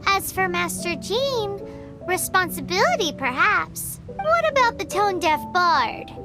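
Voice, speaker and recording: A woman voicing a tiny, excitable, sassy sprite girl in an animated video game, with a childlike squeaky voice and cheeky, bossy delivery. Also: measured pace, heavily acted. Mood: curious, musing. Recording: music behind the voice.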